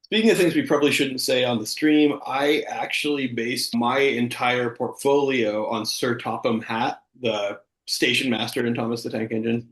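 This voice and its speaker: Man, mock-earnest comedy banter, medium pitch, very roomy sound. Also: fairly even intonation, moderate pace, fairly bright tone. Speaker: comedy show performer. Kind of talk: casual improvised banter. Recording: echoey room sound.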